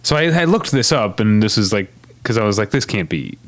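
Man, podcast co-host, casual chat, rambling, lower voice. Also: expressive, fairly quick, dry recording. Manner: rambling, lots of fillers and restarts.

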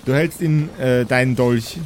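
A man's voice: medium pitch, fairly even intonation, a little slow, a little hiss or hum. A man improvising narration as the game master of a tabletop role-playing game.

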